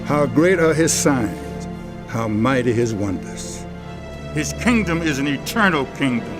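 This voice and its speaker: A proud Babylonian king in an audio Bible drama. His voice sits fairly high for a man, regal and declamatory, built to command and quick to turn volatile. Music plays clearly behind him.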